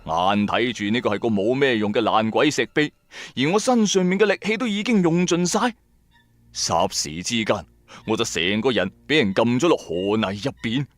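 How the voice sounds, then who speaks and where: Male narrator, mid-pitched, very animated intonation, dramatic colloquial horror storytelling. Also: normal speed, no room sound. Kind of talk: first-person supernatural horror storytelling.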